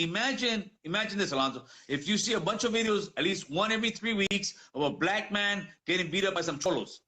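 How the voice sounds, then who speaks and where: A man's voice: sits high, pushed and bright, low-quality recording. A man from Honduras, a guest in a heated debate, speaking passionately and swearing freely.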